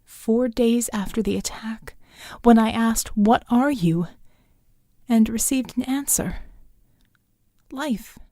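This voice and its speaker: A woman playing an intense, deliberate scientist in a science fiction audio drama. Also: fairly high pitch, mellow.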